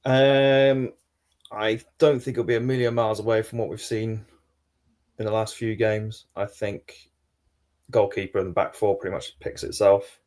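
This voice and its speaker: A man with a Geordie accent, a guest pundit talking football in a measured, thoughtful way. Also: on the low side, level tone, a little mellow. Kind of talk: casual football podcast chat.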